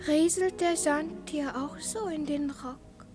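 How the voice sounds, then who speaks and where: A woman acting a dreamy, quietly solemn child in a 1960s German radio drama, speaking in a hushed, poetic way. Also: high voice, fairly even intonation, slow, music in the background.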